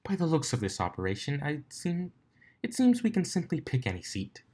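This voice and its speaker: A man's voice: a little high, wildly swooping pitch, a little fast, a little mellow, breathy edge, voice pushed up, exaggerated voice. A man voicing a refined, ladylike female cartoon unicorn with a genteel, poised manner in a fan story reading. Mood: composed.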